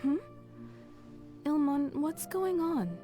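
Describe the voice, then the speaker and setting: A woman's voice: high-pitched, sing-song, takes it slowly, full character voice. A woman voicing a tender, worried mother in a video game, speaking in a soothing way. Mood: puzzled. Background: music.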